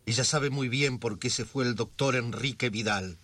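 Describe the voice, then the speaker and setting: A man giving calm, scripted narration in a radio drama. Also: medium pitch, normal speed, no echo.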